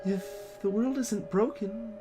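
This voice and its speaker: Woman to play selfless young game hero; soft-hearted, halting, earnest, unhurried speech with music underneath. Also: medium pitch, lightly acted. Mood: apologetic, sympathetic. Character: gentle, selfless lost child on a world-saving quest. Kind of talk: voiced video game dialogue reading.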